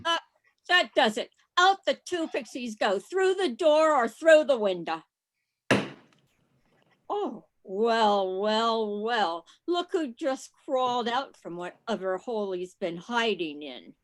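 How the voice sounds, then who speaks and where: A woman playing a gruff, hostile barman in a radio play, curt and contemptuous. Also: a little high, animated, slow pace, slight background noise. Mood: menacing. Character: gruff, hostile male bartender Nick, adult. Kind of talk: community theatre radio play acting. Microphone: video call.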